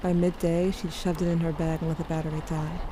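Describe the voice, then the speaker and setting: A woman plays a weary, sardonic teenage girl narrating an audio drama. Her voice sits on the low side and she confides as if to someone close. Clear ambient noise runs behind her. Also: flat delivery, fast talker, lightly acted. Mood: weary, tense.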